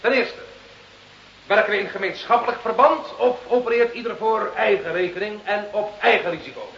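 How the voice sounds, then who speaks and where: A man acting in a Dutch radio play as a brisk, persuasive village smugglers' ringleader with a rallying, decisive manner. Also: high-pitched. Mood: businesslike. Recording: muffled, echoey old radio drama recording.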